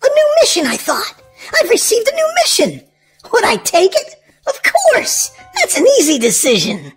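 Female children's story host, extremely high voice, hugely varied sing-song delivery, music underneath. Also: huge pitch swings, moderate pace. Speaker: host and reader of a children's read-aloud show. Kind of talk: animated children's storybook narration.

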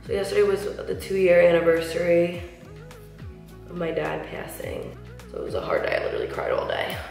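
A woman in her thirties chatting through a makeup tutorial, slightly nasal from a cold, with lots of "like" and soft asides. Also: on the low side, very animated, normal speed, vocal fry. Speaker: beauty vlogger. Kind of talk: casual makeup tutorial chat. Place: large tiled bathroom, indoors. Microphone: camera mic at close range, seated facing camera. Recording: echoey bathroom with faint music.

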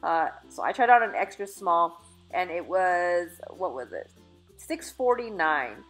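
A woman's voice: fairly low pitch, lively intonation, a little slow, a bit bright, faint music behind. A woman in her thirties chatting through a clothing try-on haul in a store dressing room, upbeat and casual with fillers and self-corrections. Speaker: fashion vlogger. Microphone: phone camera held in mirror.

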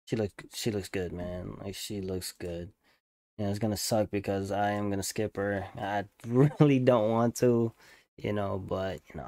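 A man's voice: on the low side, dark tone, no room sound. A young man giving casual, slangy reaction commentary in a laid-back way. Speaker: reaction video host. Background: game trailer music.

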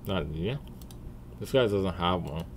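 A man's voice: deep voice, lively intonation, unhurried. A man giving casual, slangy reaction commentary as a streamer, blunt and full of swearing. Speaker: streamer and video creator.

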